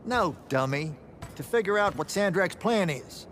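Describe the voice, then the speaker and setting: A wry old battlemage veteran in a fantasy game. He talks colloquially and gruffly, like an uncle, in a voice a little high for a man, with noticeable ambience behind him. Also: animated, measured pace, a bit bright, slight background noise. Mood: exasperated, urgent. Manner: colloquial, dry, gruffly avuncular. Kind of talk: video game acting.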